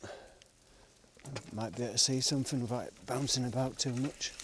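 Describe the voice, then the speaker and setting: A middle-aged man narrates his own bike ride in a chatty, rambling way, muttering asides to himself. His voice sits at an ordinary pitch for a man. The sound comes from a small camera clipped to the bike outdoors, with plenty of noise around it. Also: fairly even intonation, fairly quick. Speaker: cycling vlogger. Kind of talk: casual narration while riding. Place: outdoors on forest trails. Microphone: small pocket camera mounted on the bike frame. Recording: noisy outdoor camera audio.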